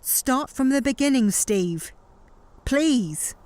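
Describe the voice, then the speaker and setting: Actress as blunt, sarcastic, no-nonsense woman; higher voice with big swings, audio drama. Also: animated, slow, a little mellow, a little hiss or hum. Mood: impatient. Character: Amanda, sardonic, exasperated, no-nonsense woman. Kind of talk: naturalistic fan audio drama acting.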